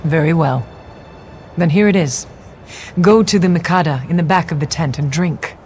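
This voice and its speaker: Man playing a higher-voiced, solemn ritual attendant. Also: moderate pace, slight background noise, close to an everyday voice. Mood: grave, instructive. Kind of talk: video game character acting.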